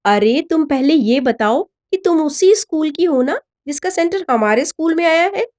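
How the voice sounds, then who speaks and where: A woman's voice: sits high, expressive, moderate pace, a little mellow, voice pushed up. A woman voicing a protective, confident older schoolboy with a blunt, brotherly way of talking, in a story reading. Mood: reassuring.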